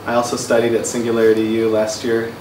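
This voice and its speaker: A man who owns a software company introducing himself to a class in an even, measured way. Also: medium pitch, background noise. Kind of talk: self-introduction. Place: large classroom. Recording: echoey classroom from far off.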